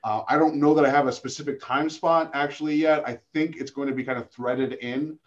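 A man with a gravelly voice, talking casually as a podcast guest, rambling with many restarts and self-deprecating asides. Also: mid-range voice, fairly even intonation, brisk, highs cut off. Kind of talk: casual interview chat.

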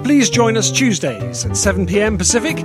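A woman's voice: medium pitch, sing-song, a little fast. A woman with an American accent delivering a scripted radio sign-off as announcer. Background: loud plucked guitar music.

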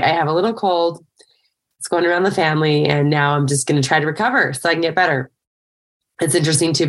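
Woman, middle-aged, low voice, slight cold, chatty upbeat solo podcast host. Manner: upbeat, chatty, with fillers and light laughs. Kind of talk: casual solo podcast talk.

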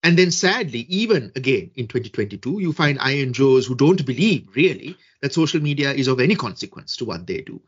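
Podcast guest, man from Sri Lanka, measured and deliberate, slightly raspy tone, somewhat lo-fi sound. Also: medium pitch, big pitch swings. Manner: measured, deliberate, with frequent fillers and restarts. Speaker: guest expert on social media and peace building. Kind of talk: podcast interview answers.

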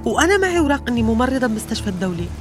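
An actress playing a despairing nurse from the Syrian coast, speaking with a Syrian coastal accent in a resigned, pleading way. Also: female voice, very animated, very fast. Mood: practical. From Latakia countryside, Syria. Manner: low, resigned, pleading to be left alone. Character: weary woman nurse, despairing, bitter at being distrusted. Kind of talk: radio drama acting. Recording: music bed behind the voice. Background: music.

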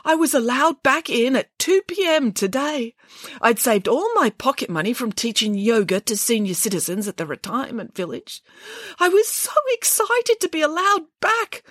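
A woman's voice: fairly high pitch, very animated, a bit bright, no room sound, studio quality, pitched above the performer's own voice. A woman voicing a huge, sensitive male bear who weeps and wails, in a storybook reading. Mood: earnest. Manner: tearful, drawn-out wailing. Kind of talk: storybook character voice.

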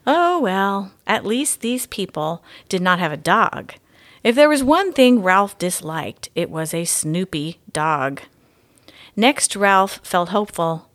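A woman's voice: mid-range voice, animated, slow, fairly bright tone. A woman reading to children as a guest audiobook narrator. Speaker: guest story reader.

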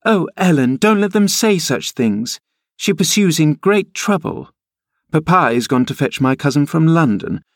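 A man voicing a haughty, spoiled little girl in a storybook, imperious and childish, quick to indignant exclaiming. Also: medium pitch, lively intonation, dry recording, clean studio sound, voice pushed up, lightly acted. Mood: distressed, scornful.

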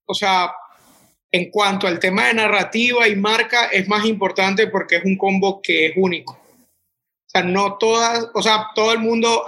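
A Colombian man in his twenties speaks casually about his restaurant, circling back on himself with plenty of restarts. His voice sits fairly high and sounds bright and forward. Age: 20s. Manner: rambling, many restarts and "o sea". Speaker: restaurant owner. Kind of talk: casual interview answers.

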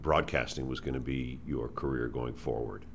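Very deep-voiced male interview host. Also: steady tone, normal speed, creaky, a little hiss or hum. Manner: brief interjections and follow-up questions. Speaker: host, stadium announcer. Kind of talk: interview questions.

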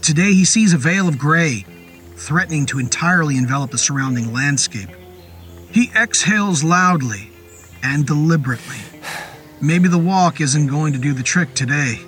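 A man narrating a scripted horror story in a measured, descriptive storytelling manner. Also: a little high, expressive, a bit bright. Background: music under the voice.

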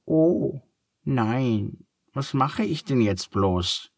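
A man's voice: mid-range voice, animated, very slow, no room sound, studio quality, voice pushed up, heavily acted. A man voicing a timid, clumsy young male elephant who talks hesitantly and plaintively in a storybook reading. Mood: worried.

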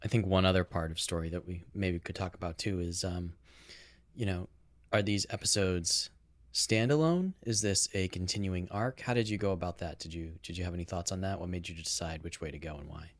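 A man's voice: sits low, brisk, no echo. A man co-hosting a podcast, talking in a laid-back, reflective way. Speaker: podcast co-host. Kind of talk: casual chat.

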